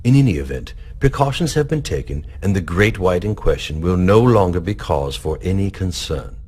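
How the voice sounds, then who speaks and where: An actor playing a smooth, evasive corporate scientist in a game cutscene. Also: male voice, sits low, expressive, moderate pace, darkish tone. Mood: defensive. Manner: polished, dismissive, controlled. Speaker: company scientist giving speeches.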